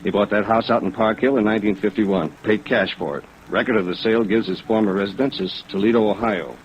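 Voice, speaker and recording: Male actor as skeptical radio informant: lowish voice, folksy, clipped, matter-of-fact, on dull old audio. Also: steady tone, fast talker, lightly acted. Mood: skeptical, thorough. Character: plain-spoken, matter-of-fact male legman, skeptical and thorough. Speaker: informant reporting to the investigator. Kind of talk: old-time radio detective drama acting. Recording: dull old radio recording, rain noise.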